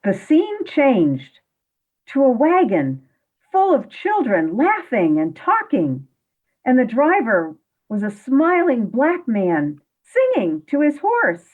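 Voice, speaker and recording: Phone-like sound. Solemn female radio-play storyteller , acted, unhurried, with wide melodic swings. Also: high voice, wildly swooping pitch, heavily acted. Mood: solemn, hushed. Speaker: narrator of a radio style play. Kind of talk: scripted dramatic story narration. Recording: tinny, narrow phone-like line.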